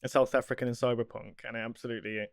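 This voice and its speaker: A man's voice: medium pitch, flat delivery, very fast, gravelly, dry recording, clean studio sound. A man co-hosting a podcast, asking questions in a friendly way with small hesitations. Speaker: podcast host. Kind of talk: casual podcast chat.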